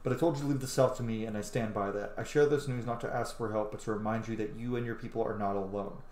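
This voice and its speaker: A man in his thirties doing excitable, casual game commentary and reading game text aloud, swearing freely. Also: lowish voice, fast talker, a little hiss or hum. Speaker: streamer playing a video game. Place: small indoor room. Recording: roomy, echoey home recording.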